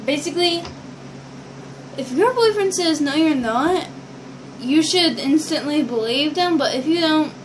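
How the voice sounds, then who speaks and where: A young teenage girl with a high voice chats to the camera in a casual, rambling vlog style. She talks slowly as she shows off her toys. The sound is homemade, with clear background noise from a device mic in a bedroom. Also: lively intonation. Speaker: vlogger showing toy builds. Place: small bedroom, indoors. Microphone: built-in device mic at arm's length. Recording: noisy amateur webcam audio.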